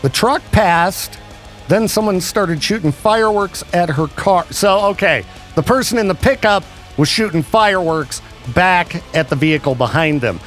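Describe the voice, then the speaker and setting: A man hosting a radio show, chatting and joking in a lively, animated way. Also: high voice, moderate pace, faint music behind. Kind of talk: casual radio banter.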